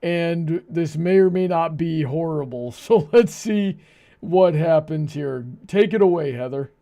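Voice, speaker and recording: A male livestream host with a fairly high voice and plenty of gravelly creak. He chats casually with his audience and co-host. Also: measured pace, mellow.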